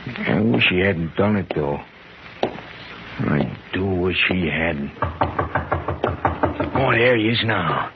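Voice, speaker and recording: A man's voice: low-pitched, animated, heavily acted. Simple, swooping-voiced loyal night watchman. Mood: wistful, confiding. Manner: childlike, hesitant, halting speech. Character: simple-minded, loyal, gentle man working as night watchman. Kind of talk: 1950s radio drama acting. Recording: muffled, noisy old 1950s radio recording.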